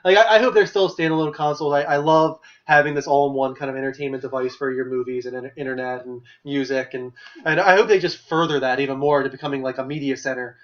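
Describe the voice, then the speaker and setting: A man's voice: mid-range voice, steady tone, quick pace. A young man hosting a casual gaming news show, opinionated and full of fillers as he runs through stories. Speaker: podcast host. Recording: muffled lo-fi livestream audio.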